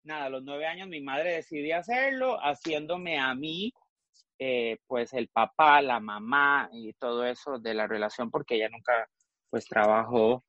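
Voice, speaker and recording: A man's voice: medium pitch, measured pace, a bit bright, lo-fi sound, a little hiss or hum. A man in his forties from Costa Rica, a guest frankly telling his personal story, often trailing off and restarting. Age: 40s.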